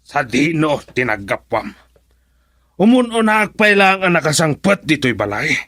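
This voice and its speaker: A jealous, menacing man in a radio drama, confrontational and accusing. His voice is husky and swings widely in pitch, recorded dry and close. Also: sing-song, moderate pace, a bit bright, dry recording. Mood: angry. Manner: confrontational, interrogating. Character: angry, jealous, threatening man, Gardo, father and lover.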